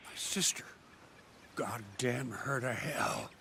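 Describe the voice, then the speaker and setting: A man's voice: medium pitch, slow, creaky, bright, forceful tone, a little hiss or hum, full character voice. An actor playing a pompous, indignant rich man in a video game cutscene, theatrical and demanding with a strident voice. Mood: outraged. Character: indignant pompous wealthy gentleman, robbed and vengeful.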